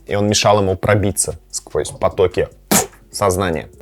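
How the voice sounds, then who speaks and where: A man's voice: low voice, moderate pace, over music. A man hosting a gaming and cooking vlog, chatting casually and playfully, sometimes to his cat. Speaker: host of a gaming and cooking channel. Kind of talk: casual chat to camera with gameplay reactions.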